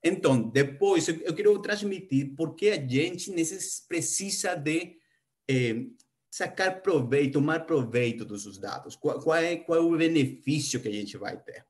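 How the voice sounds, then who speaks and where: Halting Spanish-accented man presenting. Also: fairly high pitch, animated. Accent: Spanish-speaking. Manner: halting, many restarts and fillers, mixes in English words. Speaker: conference speaker, project planner. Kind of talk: conference presentation.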